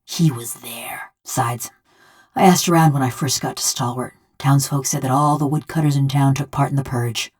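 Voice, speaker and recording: A metal-bodied woman from a fantasy video game, pitched low for a female voice. She talks in a folksy, countrified way with sardonic wit. Underneath sits a hard, vengeful temper. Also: steady tone, moderate pace, darkish tone, husky. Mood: grim, haunted. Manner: folksy rustic drawl, sardonic. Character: vengeful, wry bronze construct housing a murdered village woman's soul. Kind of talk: video game character dialogue.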